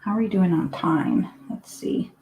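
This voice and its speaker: A woman from Finland talks an online class through her painting in a relaxed, chatty way, thinking aloud as she works at her desk. Her voice sits fairly low. The recording has a roomy echo around it. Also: unhurried, vocal fry, slight background noise. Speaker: art teacher. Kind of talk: live painting demonstration. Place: home desk. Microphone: live online class setup.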